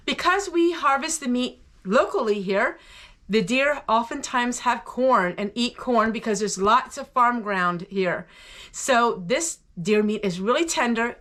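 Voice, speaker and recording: Higher-pitched older American woman, chatty vlogger. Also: fairly bright tone. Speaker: homesteading vlog host. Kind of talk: chatty vlog narration to viewers.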